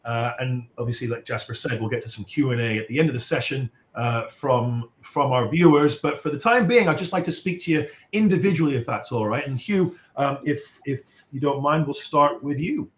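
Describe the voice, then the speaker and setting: A man's voice: medium pitch, moderate pace, hardly any pauses. A man moderating a panel and interviewing guests in an informal, conversational style. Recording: roomy, echoing sound.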